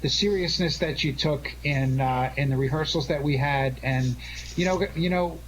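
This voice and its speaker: Halting, earnest man hosting over internet call. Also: on the high side, rough recording. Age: 40s or older. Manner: halting, earnest, effusively thankful. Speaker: show host and director. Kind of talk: casual live radio chat. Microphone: Skype-type connection. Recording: internet call with background noise.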